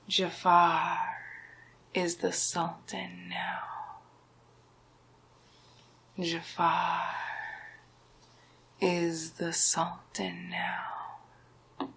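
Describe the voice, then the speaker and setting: A smug, scheming male sorcerer, acted out by a woman speaks in an ASMR villain roleplay. The voice is low-pitched for a woman and breathy, often sinking to a whisper. Each phrase comes very slowly and deliberately, with condescending, hypnotic command. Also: a bit bright, full character voice.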